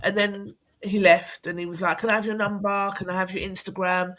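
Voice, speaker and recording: A woman hosting a relaxed chat, teasing and encouraging her guest. Her voice sits at a middle pitch. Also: brisk. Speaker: host. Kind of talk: casual interview chat.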